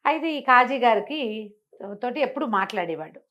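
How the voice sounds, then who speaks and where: Female storyteller, higher register, expressive and chatty, fond of rhetorical questions. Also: moderate pace, highs cut off. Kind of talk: conversational moral storytelling.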